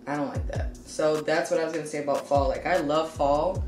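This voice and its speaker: A woman's voice: low voice, steady tone, fairly quick, darkish tone, reverberant, soft background music. A woman in her twenties talking casually to the camera while doing her makeup, a little congested, with plenty of "like" and "you know.". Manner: casual and candid, many "like" and "you know" fillers. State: slightly congested. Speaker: beauty vlogger. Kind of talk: chatty get-ready-with-me vlog. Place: small room with shelves, indoors.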